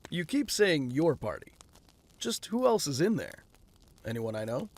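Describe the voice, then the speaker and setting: A man playing a wry, guarded rich gentleman in an audio drama, speaking in a dry, understated way. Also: on the high side, sing-song, measured pace, heavily acted.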